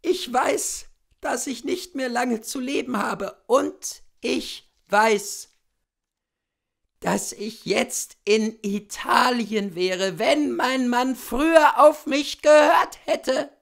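A man voicing a pious, self-pitying dying gentlewoman in a story reading, plaintive and dramatically fretful. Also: very high-pitched, very slow, creaky, voice pushed up, full character voice. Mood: lamenting, pious. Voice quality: weak, plaintive. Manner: fervent, dramatic lamenting.

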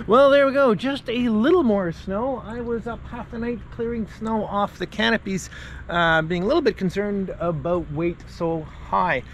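Chatty man with a higher voice. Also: a little slow, a little hiss or hum. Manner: chatty, self-deprecating, practical tips. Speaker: vlogger. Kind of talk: casual how-to vlog narration. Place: small wooden boat cabin.

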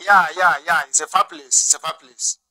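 Cheery nigerian guy in his 30s on a video call, high bright voice, says everything twice. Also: fairly even intonation, moderate pace, rough recording. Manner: repeats each phrase, cheerful and friendly. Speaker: video call chat partner. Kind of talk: casual video call chat. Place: indoors at home.

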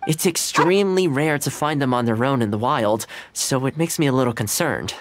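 A man's voice: medium pitch, moderate pace, lightly acted. An actor playing a friendly, caring, patient young man in a video game, with a casual, coaxing manner. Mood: concerned, hopeful. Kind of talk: video game character dialogue. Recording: music under the voice.

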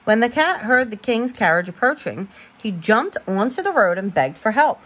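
Woman, parent at storytime, animated intonation, a touch husky, homely and informal read-aloud. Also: a little high, moderate pace. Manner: expressive, informal, stumbles over words. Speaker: parent reading a bedtime story.

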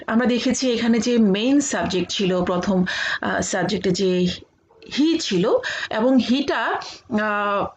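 A woman with a Bangladeshi accent giving an explanatory grammar lecture as a college teacher, speaking haltingly with frequent filled pauses. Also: mid-range voice, slightly rough, lo-fi sound. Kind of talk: explanatory online lecture with examples.

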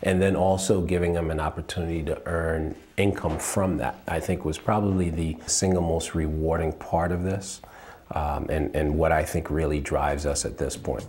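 A man in his forties from Liberia, giving measured interview answers as a company co-founder and repeating phrases for emphasis. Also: very deep voice, fairly even intonation, darkish tone, a little hiss or hum. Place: indoors, shop with product shelves.

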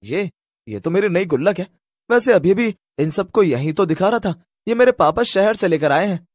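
A man's voice: high-pitched, lively intonation, moderate pace, no room sound, studio quality. A man voicing an indignant rich young boy who speaks insistently and stands up for himself in a cartoon story. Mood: proud.

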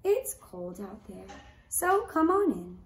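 A woman's voice: mid-range voice, big pitch swings, slow pace. Female storyteller as small kindly mouse, hesitant, storybook puppet show, melodic, echoey. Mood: reluctant, welcoming. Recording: roomy echo, faint background noise.

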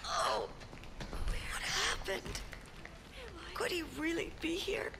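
A woman's voice: very high voice, slow, background noise, full character voice. An actress voicing a frightened young woman hiding from a killer while searching for her son, in an anxious, pleading manner. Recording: game audio with music behind.

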